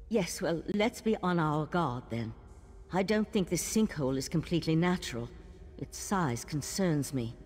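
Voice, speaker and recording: An actor playing a composed, watchful male wandering sage in a video game, measured and thoughtful. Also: high-pitched, darkish tone, breathy edge, heavily acted. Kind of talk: video game character dialogue. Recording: long cavernous reverb, faint noise.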